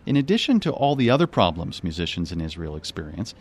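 Low-voiced male radio reporter. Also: sing-song, quick pace, husky. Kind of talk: scripted news narration.

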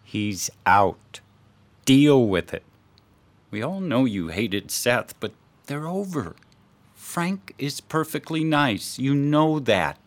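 A man acting a curt, weary older father in a radio drama, with a gravelly voice. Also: medium pitch, lively intonation, takes it slowly. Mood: stern.

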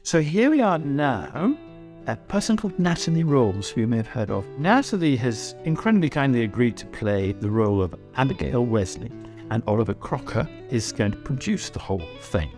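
A man in his sixties talking reflectively in a casual interview as a writer, halting and repeating words as he thinks. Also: medium pitch, big pitch swings. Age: 60s. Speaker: writer and voice actor. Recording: music behind the voice. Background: plucked guitar music.

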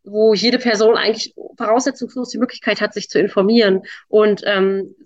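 A woman's voice: medium pitch, steady tone, brisk, no room sound. A young woman from Berlin, a campaigner for government transparency, answering interview questions with many fillers and restarts. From Berlin, Germany. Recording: dry, dull lo-fi sound.

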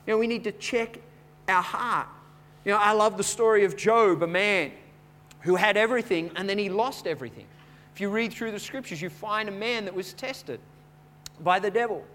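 A man preaching a sermon in a conversational, storytelling way, repeating words as he goes and building from quiet chat to louder preaching. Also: sits high, moderate pace. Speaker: pastor.

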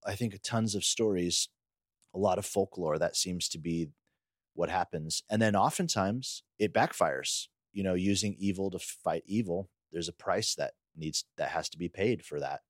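A man's voice: deep voice, normal speed, no room sound, studio quality. A man co-hosting a podcast, talking in a casual, conversational way. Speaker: podcast co-host.

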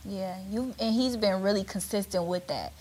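A woman talks openly about discipline and her marriage in a plain conversational voice. She grows more animated and emphatic as she makes her points. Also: mid-range voice, normal speed, slightly rough. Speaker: mother in a couple interview. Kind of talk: casual talk about parenting.

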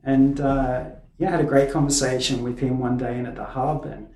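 Male podcast speaker, medium pitch, casual rambling anecdotes, noticeably roomy sound. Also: little pitch movement, normal speed, a little mellow. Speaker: podcast speaker, event organiser. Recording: echoey room.

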